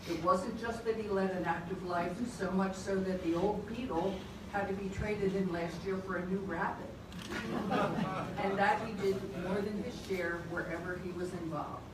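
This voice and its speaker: A woman's voice: medium pitch, steady tone, moderate pace, background noise. A woman in her eighties, a former choir member, chatting about her school days and reading a letter aloud to a reunion group. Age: 80s. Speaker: choir alumna. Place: church hall at a reunion. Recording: distant phone stream in an echoey church hall with a crowd.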